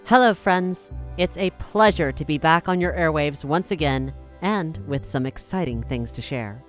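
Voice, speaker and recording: A woman hosting a podcast, reading a scripted announcement in a measured, polished way, recorded close in a studio. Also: low voice, soft background music. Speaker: showrunner and podcast host.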